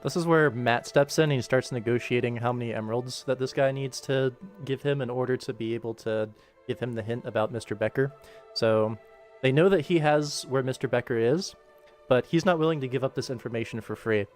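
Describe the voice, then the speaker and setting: A man's voice: mid-range voice, brisk. A man giving casual, musing livestream commentary as he directs animation voice work. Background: background game music.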